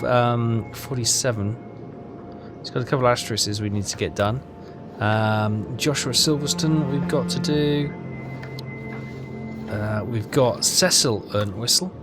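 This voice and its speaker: A man's voice: medium pitch, measured pace, music in the background. A man hosting a casual game walkthrough, hesitant and rambling as he thinks aloud. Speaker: gaming video host.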